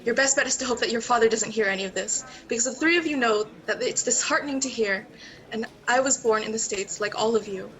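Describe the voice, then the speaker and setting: A woman playing a grieving middle-aged mother in an amateur online drama, speaking in a measured, soft way. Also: a little high, close to an everyday voice, rough recording. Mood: disappointed.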